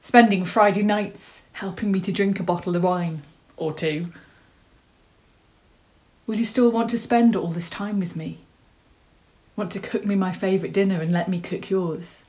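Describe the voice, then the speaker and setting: A woman's voice: mid-range voice, moderate pace, a little mellow, close to an everyday voice. An actress performing a dramatic monologue as a nervous, tender woman, earnest, hesitant and self-deprecating. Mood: tender, hopeful. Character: nervous, tender, rambling woman proposing marriage.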